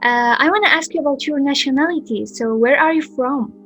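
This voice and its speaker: A woman's voice: high voice, a little fast, a bit bright, soft background music. A woman hosting a podcast interview, asking questions and encouraging her guest. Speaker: podcast host. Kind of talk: casual interview.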